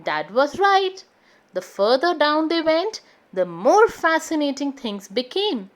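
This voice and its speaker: Female storybook reader for young children, high voice, slow and very lively. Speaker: children's read-aloud host. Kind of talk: expressive storybook narration for children.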